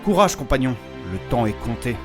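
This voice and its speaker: Guy as a dramatic fantasy narrator, medium pitch, solemn scripted read with music behind. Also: sing-song, fairly quick, natural voice. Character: dramatic storyteller recapping a fantasy quest.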